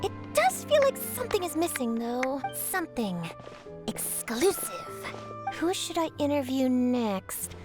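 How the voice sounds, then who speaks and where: Casting: keen young female reporter, video game. Very high pitch, animated swings, theatrical urgency. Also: unhurried. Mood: musing. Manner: excitable, theatrical. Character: eager, dramatic young female news reporter hungry for scoops. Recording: music behind the voice.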